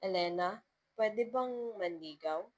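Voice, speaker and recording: A woman storyteller voicing a sincere young male teacher in love, gentle and hesitant. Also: mid-range voice, slow pace. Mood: tender.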